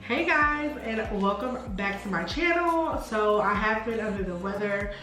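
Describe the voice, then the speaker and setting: A woman's voice: medium pitch, expressive, a little slow. Congested woman in a very echoey room. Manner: chatty, addresses viewers directly. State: congested, voice nearly gone. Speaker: beauty YouTuber host. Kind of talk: casual makeup tutorial voiceover. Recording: very echoey room, faint music.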